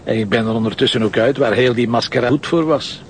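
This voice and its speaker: A man's voice: mid-range voice, quick pace, a little hiss or hum, natural voice. Terse, dry Flemish policeman played by an actor. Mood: wry. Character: blunt, wry male deputy police commissioner. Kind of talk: naturalistic crime drama acting.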